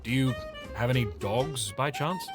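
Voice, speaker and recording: A man's voice: mid-range voice, normal speed, over music, close to an everyday voice. Exasperated played by a man. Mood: bemused. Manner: dry, scolding, practical. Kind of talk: audio drama acting.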